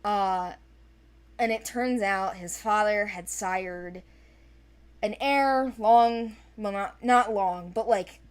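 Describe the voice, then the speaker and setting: A woman's voice: mid-range voice, slow, clean tone. A woman chatting casually about fictional characters, rambling and correcting herself with enthusiasm.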